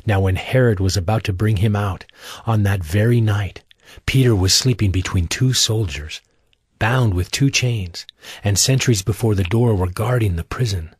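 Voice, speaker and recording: A man giving a scripted, solemn and measured narration for an audio drama of scripture. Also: fairly low pitch, a little mellow, husky, dry recording, studio quality.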